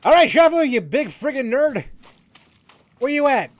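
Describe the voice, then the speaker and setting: A man giving casual, rambling live commentary as a game streamer, halting as he searches for words. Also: very high-pitched, sing-song, no room sound.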